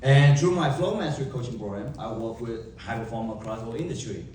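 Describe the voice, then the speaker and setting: A young man with a Vietnamese accent giving an emphatic motivational keynote to a live audience, with dramatic pauses and rhetorical questions. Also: mid-range voice, a little mellow, slight background noise. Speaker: motivational speaker. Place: large event hall. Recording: echoey hall from far off.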